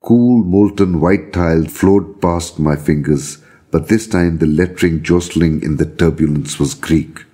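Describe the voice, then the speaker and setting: A man reading a scripted, first-person story of a visionary journey in a measured, solemn manner. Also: low voice, dark tone, husky. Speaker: storyteller reading own account.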